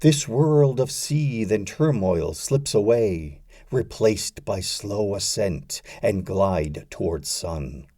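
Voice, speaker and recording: A man narrating a poetry audiobook, reciting scripted verse in a measured, deliberate way. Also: fairly low pitch, lively intonation, mellow, no echo, clean studio sound.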